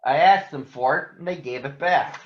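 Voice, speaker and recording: A man's voice: a little high, moderate pace, slight background noise, close to an everyday voice. A man voicing an earnest, plucky boy bear cub in a children's storybook, with an eager manner. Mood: matter-of-fact. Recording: dull, echoey room.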